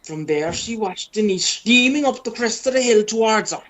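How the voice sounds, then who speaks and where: A woman with a husky voice, narrating a scripted amateur radio play. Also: on the high side, animated, normal speed. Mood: storytelling.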